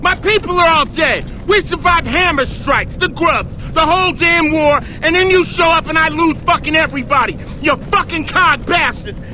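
High-pitched, enraged gang boss. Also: male voice, moderate pace, few pauses, a little hiss or hum, heavily acted, rough recording. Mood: enraged, grieving. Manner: profane, accusatory shouting. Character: enraged, bereaved gang boss with a bitter grudge.

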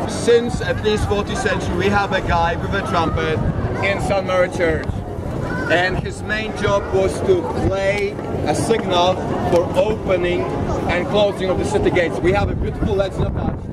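A man in his thirties with a Polish accent, telling jokey, animated stories as a tour guide outdoors in an old town square. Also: high voice, measured pace, a loud crowd. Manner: jokey, animated, acts out quotes. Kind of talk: walking tour storytelling. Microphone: far camera. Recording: distant voice with loud street noise.

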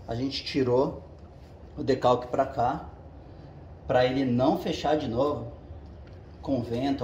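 A man walks his viewers through a hands-on job one step at a time, pausing often to check that they are following. His voice sits at an ordinary pitch. It rings off the bare walls of a garage, over a faint background hum. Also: a little mellow. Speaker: channel host. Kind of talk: casual how-to demonstration. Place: home garage with the door open. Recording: echoey garage.

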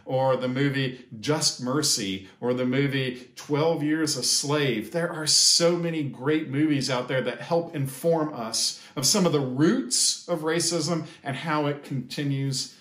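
An American man in his fifties giving a measured, sermon-like pastoral address with deliberate pauses. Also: medium pitch, fairly bright tone. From United States. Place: small indoor sitting room or office. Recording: echoey room.

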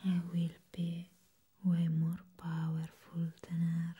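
Woman acting a slow whispering vampire nurse. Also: fairly low pitch, completely flat delivery, mellow, exaggerated voice. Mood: menacing, hypnotic. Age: young adult. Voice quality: breathy whisper.

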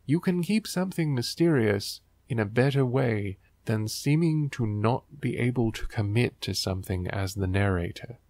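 A man's voice: mid-range voice, expressive, moderate pace, hardly any pauses, darkish tone, no echo. A man hosting a book riffing show, reading aloud and commenting in a sarcastic, wry, exasperated way.

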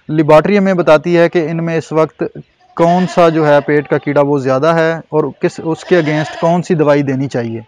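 A man in his thirties with a Pakistani Urdu accent speaks in a measured, informative way, pausing on fillers as he explains. His voice sits fairly high for a man. The sound is close and dry, with little room around it. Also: darkish tone, no echo. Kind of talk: explanatory on-camera interview. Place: outdoors at a covered goat shed.

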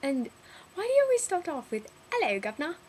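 A young woman putting on a British accent, gushing and rambling excitedly in a casual video diary. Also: very high voice, fairly quick, slight background noise. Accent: put-on British. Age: young adult. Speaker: vlogger.

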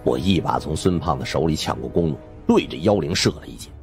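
A man's voice: extremely low pitch, lively intonation, fast talker, soft background music. A man giving dramatic scripted narration of supernatural suspense fiction as an audiobook narrator.

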